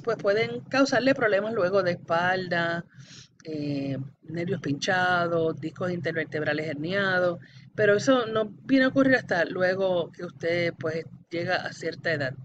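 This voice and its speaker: A woman giving an explanatory anatomy lecture in a didactic way, addressing the student directly and often checking for understanding. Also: on the low side. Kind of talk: explanatory classroom-style lecture. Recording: dull lo-fi audio with background noise.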